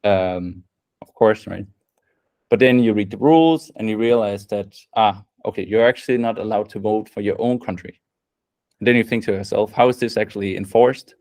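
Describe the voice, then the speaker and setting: A man giving a rambling workshop talk, voice on the low side. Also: normal speed, a little mellow. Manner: casual, thinks aloud with many fillers and restarts. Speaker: workshop presenter. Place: event room.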